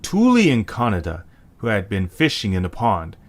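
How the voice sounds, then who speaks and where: A man's voice: on the low side, huge pitch swings, moderate pace. A man narrating a scripted story.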